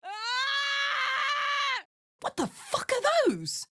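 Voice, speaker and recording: A battle-hungry video game warrior woman with a big heart and a salty, informal tongue. Her delivery is unhurried and emphatic, swinging widely in pitch, in a very dry studio sound. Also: expressive, pushed and bright, no room sound, shouting, full character voice. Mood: alarmed, anguished. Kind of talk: video game character voice lines.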